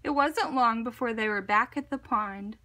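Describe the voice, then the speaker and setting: A woman reading a children's picture book aloud in an expressive, deliberate, dramatic narration style. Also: a little high, a little hiss or hum. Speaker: storybook reader.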